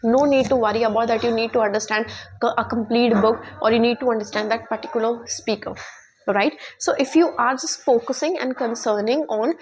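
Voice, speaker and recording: Woman, Indian accent, slightly high voice, informal online teacher, repetitive check-ins, some background noise. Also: fairly quick. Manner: repetitive, checks in with "okay" and "right". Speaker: online English teacher and YouTuber. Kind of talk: informal English lesson to camera.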